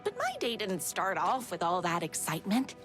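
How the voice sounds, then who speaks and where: An actress playing a bubbly, self-adoring waitress and obsessed superfan in a comic game, gushing and dreamy. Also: female voice, medium pitch, wildly swooping pitch, normal speed, music in the background. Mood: dreamy, smug. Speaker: narrator and lead character. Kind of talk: comic scripted narration and game dialogue.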